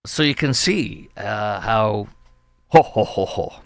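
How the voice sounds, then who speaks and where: Indignant low-voiced male commentary host. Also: expressive, slow, vocal fry, no echo, studio quality. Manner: emphatic, indignant, rambling. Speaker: talk show host. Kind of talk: opinionated political commentary.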